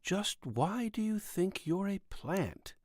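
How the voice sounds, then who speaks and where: A man voicing a gentle, puzzled military doctor who questions a patient in a measured way, in an audiobook. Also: sits high, big pitch swings, dry recording, clean studio sound, pitched above the performer's own voice, exaggerated voice. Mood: gently probing. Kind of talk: audiobook character dialogue.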